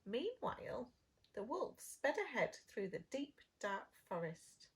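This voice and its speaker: A woman reading a storybook to young children as a preschool storyteller, with dramatic, drawn-out phrasing and hushed moments. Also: a little high, big pitch swings, slightly rough.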